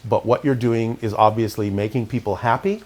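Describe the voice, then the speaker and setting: A middle-aged man, raised in California, calmly recounting his experiences as an interview guest. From Canada, raised in California. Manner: calm, measured, low-key delivery. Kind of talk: reflective interview storytelling.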